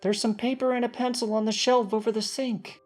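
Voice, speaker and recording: A brisk fantasy mother played by a man, speaking directly and higher than the reader's own voice. It is a storybook reading with faint music. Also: little pitch movement, a little mellow. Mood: calling out. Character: brisk, assertive village wife and mother, fantasy creature.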